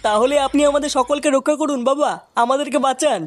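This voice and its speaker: A performer voicing a bossy young male village club leader in a comic cartoon, brisk and commanding. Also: high voice, fairly even intonation, natural voice. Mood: pleading.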